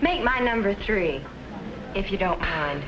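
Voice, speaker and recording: Frank young woman played by an actress, mid-pitched and expressive, easygoing friend in a muffled 1950s film. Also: measured pace, natural voice. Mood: polite. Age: young adult. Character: blunt, easygoing young woman, friend who won't be pushed. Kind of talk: 1950s educational film acting. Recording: noisy, muffled old film soundtrack.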